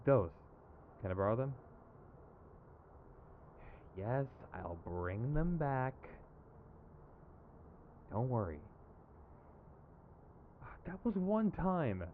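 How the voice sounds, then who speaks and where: Man acting an exasperated, animated villain. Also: medium pitch, very slow, pauses often, phone-quality sound. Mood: exasperated, casual. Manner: conversational, sardonic, sweary, emphatic. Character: exasperated male villain with a protective, principled streak. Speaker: audio roleplay voice actor. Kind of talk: ASMR roleplay acting. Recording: clear background noise.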